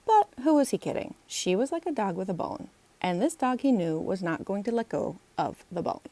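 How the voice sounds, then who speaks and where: A woman's voice: mid-range voice, very animated, normal speed, mellow, no room sound. A woman, the author, reading her children's book aloud as a storybook narrator.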